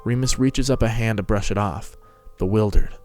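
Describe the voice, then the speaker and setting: A man's voice: lowish voice, moderate pace, darkish tone. A man giving a scripted, dramatic narration of a story. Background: music and sound effects.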